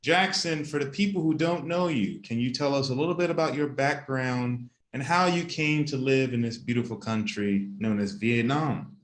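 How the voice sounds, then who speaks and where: A man works as an interview host, speaking in a measured, deliberate way. His voice sits at an ordinary male pitch, and the room adds a clear echo around it. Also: slight background noise. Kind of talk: interview hosting. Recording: roomy echo.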